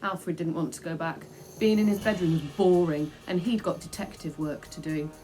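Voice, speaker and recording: A woman reading a children's book aloud in an expressive storytelling style. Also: fairly low pitch, moderate pace, soft background music, low-quality recording. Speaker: storybook reader.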